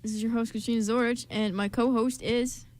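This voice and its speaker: A woman's voice: on the high side, steady tone, a little fast, a little mellow, slight background noise. A young American woman hosting a radio show with chatty, informal, jokey banter. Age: young adult. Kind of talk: casual group banter. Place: college radio studio. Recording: faint studio buzz.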